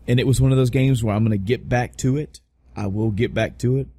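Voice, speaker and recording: Male podcast host, mid-pitched, casual and rambling, emphatic with lots of cursing, dry recording. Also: fairly even intonation, quick pace, dark tone, dry recording. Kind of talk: casual podcast chat about video game movies.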